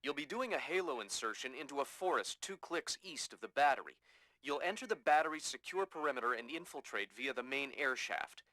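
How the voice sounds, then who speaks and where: An actor playing a businesslike mission planner giving a clipped, matter-of-fact briefing in a video game. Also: male voice, mid-range voice, animated, a little fast, bright, forceful tone, no echo.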